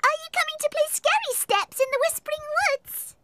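Tiny, very high-pitched cartoon animal. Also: expressive, fairly quick, heavy background noise. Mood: friendly, eager. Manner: childlike, simple, eager. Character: playful, excitable little farm animal friend, childlike. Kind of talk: preschool cartoon voice acting. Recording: loud children's music behind the voice.

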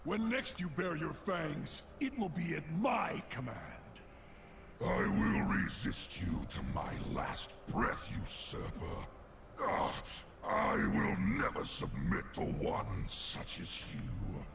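A mighty, menacing fantasy game lord with a heavily gravelled voice. The lines are drawn out and theatrical, swinging wildly in pitch, over clear game noise. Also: male voice, sing-song, takes it slowly, full character voice. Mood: defiant. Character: villainous demon lord of nightmares and a defiant bear spirit, menacing. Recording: noisy game audio with effects behind.

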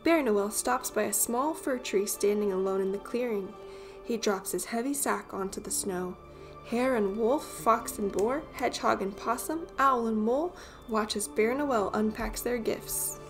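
A woman reading a children's picture book aloud as an expressive storyteller. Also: on the high side, unhurried, faint music behind.

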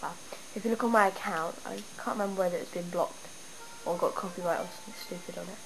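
A woman's voice: fairly low pitch, fast talker, gravelly. Chatty girl with a stuffy cold. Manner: chatty, rambling, excitable. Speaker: gamer showing her town. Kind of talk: casual game walkthrough. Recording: noisy home camera recording. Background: steady background noise.

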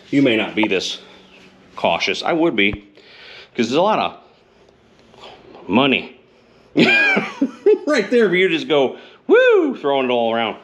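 A man's voice: medium pitch, fairly bright tone, a little hiss or hum. A man in his thirties chatting casually in his home garage, joking and poking fun at himself while he works on a motorcycle. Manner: joking, self-deprecating, chatty. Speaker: motorcycle vlogger. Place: home garage with open door. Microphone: camera close up, sometimes set aside.

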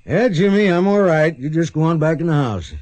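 A man's voice: on the high side, animated, a little fast, a little mellow, dry recording, natural voice. An actor playing a steadfast, protective frontier father in a radio western, plain-spoken and earnest. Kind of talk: radio western drama acting.

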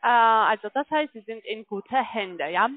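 A non-native German-speaking woman with a foreign accent giving a telephone sales pitch as a call-centre agent, often checking in as she goes. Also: lively intonation, measured pace, no echo. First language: not German. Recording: muffled, tinny phone line.

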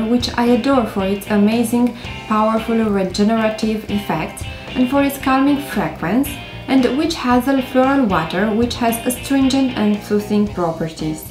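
A woman in her thirties from Romania, speaking English with a Romanian accent, gently hosting a beauty tutorial to camera with frequent hesitations. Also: on the high side, echoey room. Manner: gentle, careful delivery with many hesitations. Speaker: beauty vlogger. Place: indoors by a stone wall.

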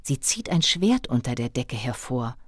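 A woman narrating a scripted children's audio play in a dramatic, expressive storybook manner. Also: very deep voice, measured pace, no echo, studio quality.

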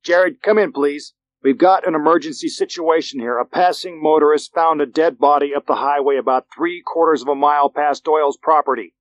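Police dispatcher, played by a male narrator: American, pitched up, brisk, urgent, professional. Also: no room sound, lo-fi sound, lightly acted. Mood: alarmed, brisk. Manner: urgent, clipped.

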